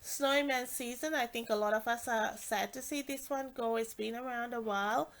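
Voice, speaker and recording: A woman's voice: fairly high pitch, fairly even intonation, normal speed, fairly bright tone. Chatty woman with a stuffy nasal voice. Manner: chatty, many fillers and restarts. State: congested and nasal. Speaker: stamping demonstrator. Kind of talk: casual product walkthrough.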